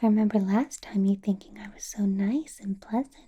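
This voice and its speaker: A woman playing a possessive, doting vampire boss in an ASMR roleplay, whispering in a breathy, teasing, intimate way. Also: medium pitch, dark tone, natural voice. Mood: teasing, menacing. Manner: slow, teasing, intimate personal-attention delivery.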